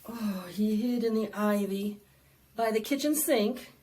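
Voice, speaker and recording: A woman presenting storytime for young children, singing and reading aloud in an animated, sing-song way that coaxes them to join in. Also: mid-range voice, a little slow, a little hiss or hum. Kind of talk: interactive preschool storytime, singing and read-aloud.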